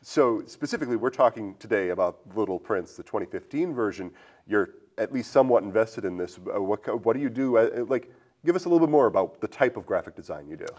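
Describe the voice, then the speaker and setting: A man's voice: lowish voice, lively intonation, fast, darkish tone. A man hosting a casual movie discussion in a playful, bantering manner. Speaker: podcast host.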